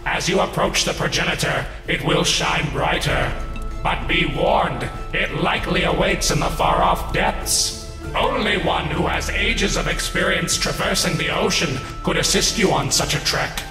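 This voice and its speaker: A man's voice: fairly high pitch, measured pace. A man playing a grave fish king, echoing. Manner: commanding and ominous. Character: regal older fish king, grave father figure. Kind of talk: fan-made animated short film. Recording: echoey hall with long reverb under loud music.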